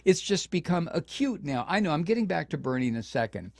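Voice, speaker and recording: A man's voice: a little high, big pitch swings, fairly quick, vocal fry. An older man hosting political talk radio, his voice riding up and down with feeling as he grows indignant. He talks on a close mic in a dry, dead room with no echo, stumbling and restarting as he presses his point. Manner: impassioned, indignant, with frequent restarts. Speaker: radio talk show host. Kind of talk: political commentary.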